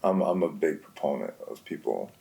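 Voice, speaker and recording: A man's voice: extremely low pitch, big pitch swings, normal speed, gravelly, mellow. A man chatting casually as a podcast guest, laid-back and full of "you know what I mean" asides. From Greek family, raised bilingual. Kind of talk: casual chat.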